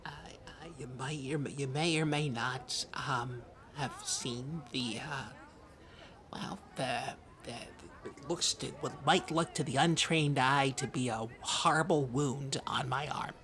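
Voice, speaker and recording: A performer playing a fussy, easily flustered small-town man, halting, prim and defensive in improvised comedy chat. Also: medium pitch, fairly bright tone. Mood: halting, pained. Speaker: co-host of a comedy podcast.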